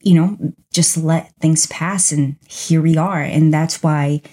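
A woman from Brazil co-hosting a casual podcast, reflective and animated, with a husky edge to her voice. Her tone is mellow and low, and she leans on easy conversational fillers. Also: slow. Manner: reflective, animated, with frequent fillers like "you know". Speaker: podcast co-host.

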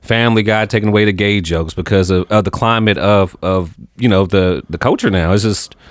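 A man's voice: on the low side, brisk, no room sound, clean studio sound. A man in casual co-host chat, speaking in a slangy, emphatic way.

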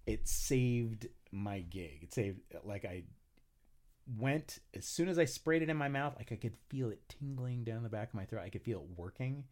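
A man talking casually on a podcast, animated and foul-mouthed, laughing as he tells stories. His pitch swings widely up and down, and his voice sits in a middling register. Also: normal speed. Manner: animated, profane, laughs often. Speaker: podcast guest, singer. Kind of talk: casual chat, storytelling.

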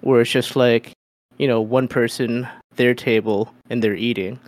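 A man's voice: mid-range voice, fairly even intonation, a little slow, dry recording. A man co-hosting a podcast, rambling loosely with lots of fillers in casual chat. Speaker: podcast co-host.

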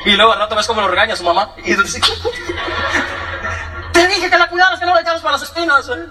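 A young man with a Mexican accent, from Michoacán, telling his life story to an audience in a joking, animated way. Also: very high voice, fairly quick, far from the mic. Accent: Mexican Spanish. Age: young adult. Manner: joking, animated, acts out quoted voices. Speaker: speaker giving a testimony.

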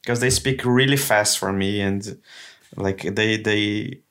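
A man's voice: on the low side, takes it slowly, a bit bright. A man with a Brazilian accent, a native Portuguese speaker, talking casually and reassuringly as a podcast guest in English as his second language. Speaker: podcast guest, comedian and actor. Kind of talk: casual conversation.

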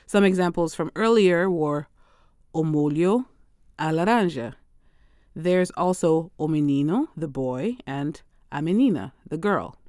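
A woman hosting a solo language-learning podcast, chatty and quick to laugh, slipping Portuguese phrases into her talk. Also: lowish voice, darkish tone, no echo, studio quality. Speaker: podcast host.

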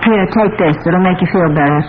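An actress playing a dry, brisk middle-aged wife and mother in a 1940s radio play. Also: on the low side, lightly acted. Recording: old radio broadcast, tinny with loud hiss.

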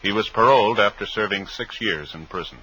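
Man as a low, formal vintage radio announcer. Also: dull audio, heavy background noise, lightly acted. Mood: formal, authoritative. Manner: formal, measured. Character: formal, authoritative radio announcer reading trial results. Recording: vintage radio broadcast with loud music.

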